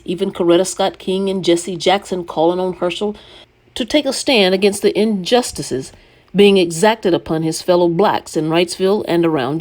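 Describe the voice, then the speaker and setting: A middle-aged woman from Georgia speaks. She reads her own written opinion piece aloud. Her delivery is deliberate and emphatic, with pointed rhetorical turns. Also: fairly low pitch, a little mellow. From Georgia, United States. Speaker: editorial writer. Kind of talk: scripted opinion editorial read aloud.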